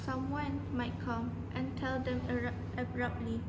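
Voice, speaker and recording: A woman's voice: on the high side, flat delivery, unhurried, very noisy, natural voice. A woman acting in an amateur stage play as a troubled villager bearing tragic news. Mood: anxious. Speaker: amateur student actor. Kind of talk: stage play dialogue, recited.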